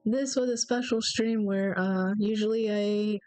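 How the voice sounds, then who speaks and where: Slow, hesitant young woman with a stuffy nose. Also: mid-range voice, fairly even intonation, darkish tone, smooth. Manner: rambling, hesitant, many fillers. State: stuffy nose, sore throat. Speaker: solo game developer streaming. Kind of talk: casual stream chat. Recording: faint music behind.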